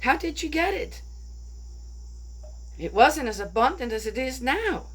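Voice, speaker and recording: Woman rambling through a bible story, asks "right?" every few lines, easygoing and thinking out loud. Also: high-pitched, big pitch swings, a little slow, slightly rough. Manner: chatty, rhetorical, keeps asking "right?". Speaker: bible study speaker. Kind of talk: casual spoken reflection on a bible story.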